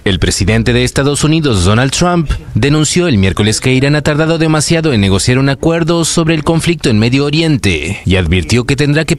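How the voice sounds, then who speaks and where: A man reading scripted international news narration and translated voice-over. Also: medium pitch.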